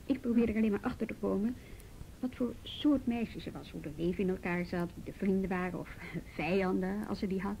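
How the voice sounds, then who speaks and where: A woman's voice: vocal fry, dark tone, close to an everyday voice, poor audio. A woman playing a patient, persistent youth police officer in a radio drama, coaxing. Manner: coaxing, probing, deliberate. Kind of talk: Dutch radio drama acting. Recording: old radio recording, faint rumbly noise.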